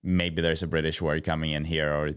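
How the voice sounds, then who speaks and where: A man's voice: extremely low pitch, level tone, a little fast, smooth. A man from Norway hosting a running podcast, chatting in a friendly, hesitant way. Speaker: podcast host. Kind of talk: casual interview chat.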